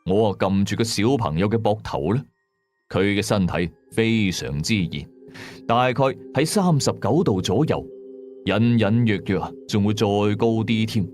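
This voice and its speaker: 一個香港粵語口音嘅男人，用第一身、口語化又戲劇性嘅方式講廣播鬼古。. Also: male voice, lowish voice, expressive, normal speed, darkish tone, soft background music. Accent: Hong Kong Cantonese. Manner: dramatic, colloquial. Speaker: radio drama narrator. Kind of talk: first-person ghost story narration.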